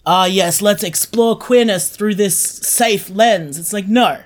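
A woman's voice: mid-range voice, slow. A woman talking casually as an interview guest, candid and emphatic in making points. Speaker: interview guest, audio drama creator.

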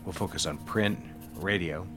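Very deep-voiced, controlling male boss played by an actor. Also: music in the background, close to an everyday voice. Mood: businesslike, brisk. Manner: measured, insistent, commanding. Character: controlling, smooth male 1960s advertising office boss. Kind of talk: period audio drama acting.

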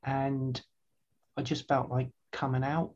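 A woman's voice: very deep voice, level tone, takes it slowly, darkish tone, dull audio. An older woman, earnestly answering interview questions with frequent restarts and repeated words. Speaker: website founder, guest.

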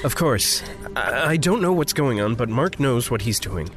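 Gentle, reassuring man with lively intonation. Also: medium pitch, normal speed, soft background music. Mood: concerned, calm. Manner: careful, reassuring, considerate. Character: gentle, attentive, supportive male friend. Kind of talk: naturalistic audio drama acting.

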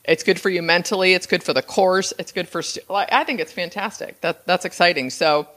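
Low-voiced woman hosting a podcast. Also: brisk, fairly bright tone. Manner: enthusiastic, chatty, lots of fillers and restarts. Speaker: podcast host. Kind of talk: casual interview chat.

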